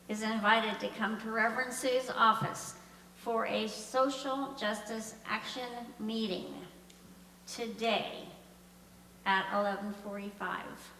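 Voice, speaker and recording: A woman gives congregational announcements in a bright, forward voice. She speaks slowly, and the sound is distant from the mic with a long hall reverb. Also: medium pitch. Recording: echoey hall, distant mic, background noise.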